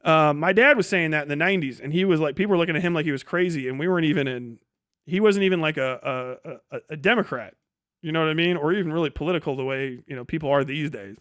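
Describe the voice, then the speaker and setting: A man in his forties with a high voice, recorded dry and close to the ear. He rambles irreverently through a casual livestream rant and swears heavily as he goes. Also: fast talker, fairly bright tone, no echo. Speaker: podcast host and streamer.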